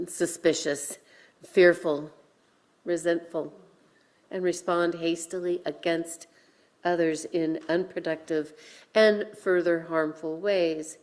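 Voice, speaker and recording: A woman minister delivering a sermon in a deliberate way, with pauses and dramatic emphasis. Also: fairly low pitch.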